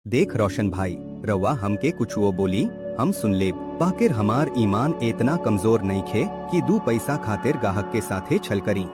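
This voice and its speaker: A poor, honest fritter seller from a cartoon moral tale, played by a man, with a low, expressive voice and a Bhojpuri accent. He speaks in an earnest, pleading, principled way over loud music. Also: measured pace. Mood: firm, principled. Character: poor, honest, devout male potato-fritter street vendor, married. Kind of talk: animated moral story dialogue. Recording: loud music bed behind voice.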